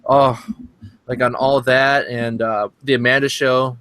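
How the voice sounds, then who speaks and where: A man's voice: mid-range voice, level tone, unhurried, fairly bright tone, no echo. A young man guest in a casual group chat, rambling nostalgically and restarting his sentences.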